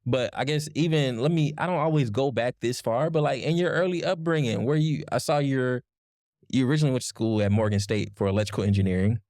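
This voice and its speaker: A man hosting a podcast, chatting in a relaxed, slangy way. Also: mid-range voice, quick pace, dry recording, clean studio sound. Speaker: podcast host. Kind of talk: casual interview chat.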